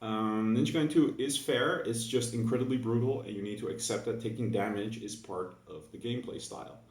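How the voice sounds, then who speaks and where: A man's voice: fairly low pitch, brisk. A young man with a Dutch accent, just woken up, talking through a casual, hesitant monologue for his gaming video full of fillers and restarts. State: just woke up. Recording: echoey room, mic at a distance.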